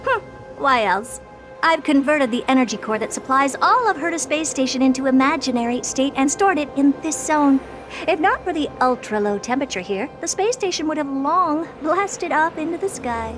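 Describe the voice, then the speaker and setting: A haughty woman scientist in a video game, with a high voice. She explains in a curt, imperious manner, dismissive and sure of her own brilliance, with clear music underneath. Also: expressive, moderate pace. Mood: dismissive, brisk. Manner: imperious, matter-of-fact explaining. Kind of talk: English video game voice acting.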